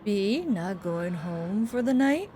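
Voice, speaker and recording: A sinister veiled stalker from a horror game, voiced by a woman. He draws his words out slowly and ominously, calm and insinuating. Some noise sits behind the voice. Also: mid-range voice. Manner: slow, drawn-out, ominous. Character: sinister, slow-talking veiled male monster stalker.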